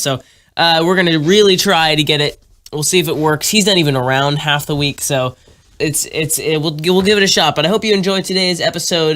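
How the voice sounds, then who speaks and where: A young man hosting a podcast while sick, sounding a little nasal, excited and rambling with lots of fillers. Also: a little high. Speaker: podcast host. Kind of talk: casual podcast talk.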